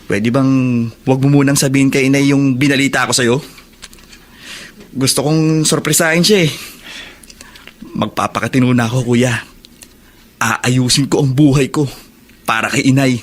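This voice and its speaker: A male actor as a sincere young Filipino man in a radio drama. He is a hopeful student set on reforming himself, speaking earnestly at a middling pitch. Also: steady tone, moderate pace, long pauses, lightly acted. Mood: earnest, pleading.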